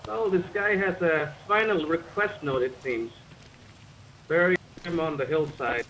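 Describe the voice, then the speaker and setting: A man's voice: a little high, unhurried, a bit bright, low-quality recording. A man talking casually in character as a player in an online roleplay game. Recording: faint noise behind game voice chat.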